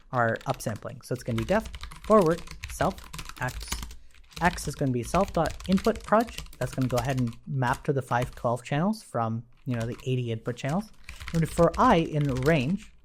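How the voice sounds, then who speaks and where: A man's voice: mid-range voice, a little hiss or hum. A man giving an informal live coding lesson, explaining step by step and often checking in with short tag questions. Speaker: programming instructor. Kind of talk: live coding tutorial, explaining as they type.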